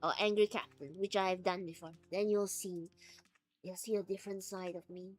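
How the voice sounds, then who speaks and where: A woman's voice: medium pitch, steady tone, normal speed, a little mellow, soft background music. A woman streamer chatting casually, laughing often and acting out little quoted voices.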